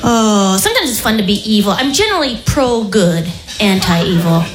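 A woman doing stand-up, shifting from deadpan talk into shrill mock voices. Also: moderate pace. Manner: deadpan then shrill mock voices, laughs at own bits. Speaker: stand-up comedian. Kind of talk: stand-up routine. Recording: live set in an echoey room with noise.

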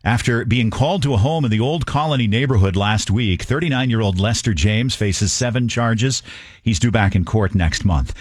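A man anchoring a morning newscast on news radio, reading headlines in a clear, measured delivery. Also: medium pitch, no echo, clean studio sound.

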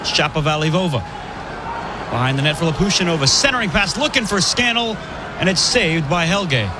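A man calling live women's college hockey play-by-play, brisk and chatty, laughing easily between plays. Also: a little high, expressive, a crowd in the background, noisy background. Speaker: play-by-play commentator.

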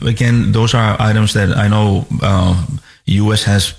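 A man from Finland, a financial advisor speaking as a guest on a radio talk program, measured and full of restarts and fillers. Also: on the low side, fairly even intonation.

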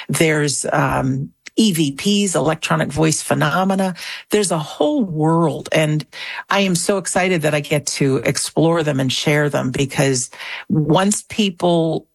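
A middle-aged woman, a guest on a radio interview, telling her story in an earnest, animated way. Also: low voice, vocal fry, slightly rough, dry recording. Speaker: guest author.

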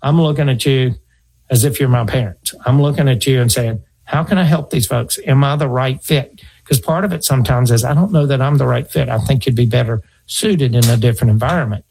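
A middle-aged man with a gravelly, husky voice speaks as a financial advisor giving advice. He talks earnestly, tells stories, and circles back to repeat his points. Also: medium pitch, brisk, darkish tone, rough recording. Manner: earnest, storytelling, repeats himself. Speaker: financial advisor radio host. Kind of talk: conversational advice talk.

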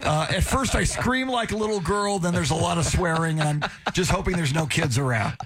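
A man's voice: on the high side, fairly quick, a bit bright, slight background noise. A man hosting morning radio news, chatting and joking with his co-host before reading the weather. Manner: chatty, joking, self-deprecating. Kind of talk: casual banter and news reading.

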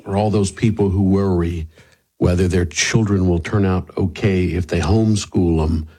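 A man's voice: low-pitched, steady tone, normal speed, warm tone, slightly rough. A man hosting a radio show, speaking in a conversational interviewing style.

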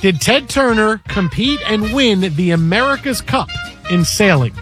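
A man hosting a sports radio show, running a playful quiz with his co-hosts in a quick, joking way. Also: sits high, animated, music in the background. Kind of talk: casual radio chat.

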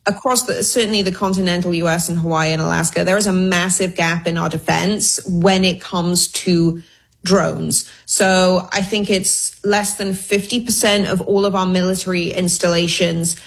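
A woman's voice: fairly low pitch, steady tone, normal speed, creaky. A woman speaking as a guest researcher and commentator, explaining news informally with wry, hedging asides. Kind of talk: conversational news analysis.

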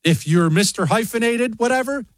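A man's voice: very high-pitched, lively intonation, moderate pace, no room sound, clean studio sound. A man hosting a talk show, delivering blunt, mocking opinion segments and answering listener emails.

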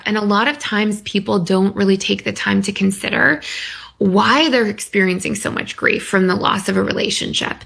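A woman's voice: medium pitch, creaky, a bit bright. A woman speaking as an expert guest on a radio call-in show, explaining things conversationally.

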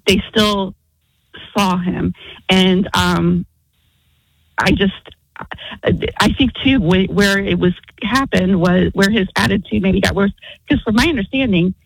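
A woman's voice: medium pitch, vocal fry, husky, no echo, rough recording. A woman on a radio show who talks in a hedging way, often qualifying what she says. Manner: hedging, lots of "from my understanding". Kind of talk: radio talk chat.

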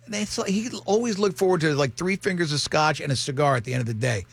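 Gravelly fifty-something guy riffing with his cohosts, kinda high voice, faint music under it. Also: dry recording. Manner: joking, rambling, quick to laugh. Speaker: radio show host. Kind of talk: casual banter with co-hosts.

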